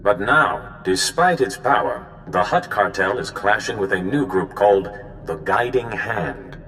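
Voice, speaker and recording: A man playing a solemn, worried Jedi mentor in a video game, speaking in measured explanations. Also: lowish voice, expressive, a bit bright. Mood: grave. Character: grave, worried male Jedi mentor giving orders. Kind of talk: cinematic video game dialogue. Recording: hall reverb, faint background noise.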